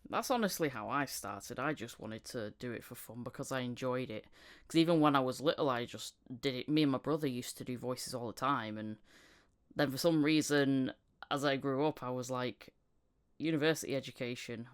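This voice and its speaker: A woman hosts a casual interview in a clean, smooth voice. She is chatty and modest about herself, talking informally as if among peers. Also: very deep voice, moderate pace. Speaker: podcast host.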